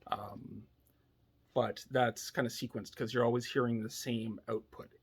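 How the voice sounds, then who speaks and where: A man giving a casual, unscripted technical demo in a laid-back, hesitant way, thinking aloud, with a husky, slightly gravelly voice. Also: medium pitch, fairly even intonation, measured pace. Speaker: electronics builder demonstrating a device.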